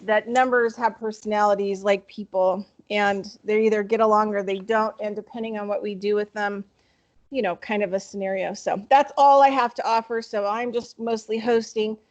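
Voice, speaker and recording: A woman's voice: mid-range voice, fairly even intonation, normal speed, dull audio. A woman hosting a group video call, chatting casually and rambling with many fillers. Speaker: host.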